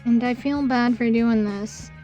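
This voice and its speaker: A woman's voice: fairly high pitch, steady tone, measured pace, mellow, muffled recording, faint music behind. A woman streaming a game live, hesitant and self-deprecating as she talks to her chat while losing her voice.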